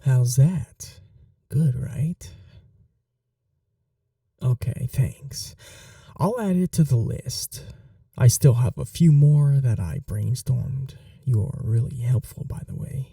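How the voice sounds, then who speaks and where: A man playing an eager, awkward young supervillain in an ASMR roleplay, rambling to the listener with sheepish boasts and breathy asides. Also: medium pitch, slow pace, pauses often, warm tone, no room sound, studio quality, recorded from a distance. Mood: eager, hopeful. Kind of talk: ASMR roleplay audio drama, addressing the listener.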